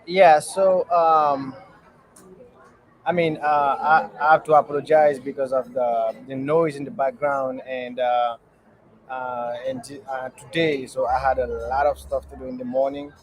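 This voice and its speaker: A young man from Haiti talks about his work as an entrepreneur in a halting, earnest way. He stops often and fills the gaps with small hesitations. He is speaking from a restaurant. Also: mid-range voice, level tone, rough recording. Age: young adult. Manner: halting, many fillers, earnest. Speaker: guest entrepreneur. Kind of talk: casual interview answers.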